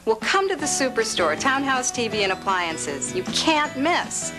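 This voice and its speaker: A woman delivering a scripted retail commercial pitch as an announcer. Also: very animated, measured pace, music in the background.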